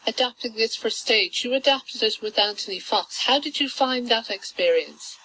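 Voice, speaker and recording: A woman's voice: on the high side, moderate pace, pushed and bright, slight background noise, rough recording. A woman host of a radio arts program, asking the guest questions in a conversational way. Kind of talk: radio interview.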